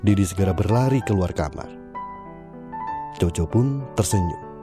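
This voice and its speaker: Deep-voiced male drama narrator. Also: lively intonation. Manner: measured, storytelling. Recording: music underneath.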